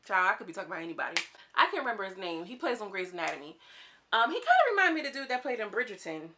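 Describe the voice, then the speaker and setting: A woman from Houston with a twang, talking fast and chatting casually as she reviews a reality dating show, acting out quotes in a higher voice. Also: very animated, noisy background. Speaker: YouTube reality TV commentator. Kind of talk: casual commentary monologue.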